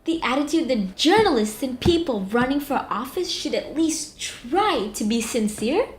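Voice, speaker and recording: A woman's voice: sits high, lively intonation, moderate pace, echoey room. A woman playing an earnest, moralizing TV journalist in an audio drama, arguing emphatically and articulately. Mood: earnest, insistent. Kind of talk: amateur audio drama acting.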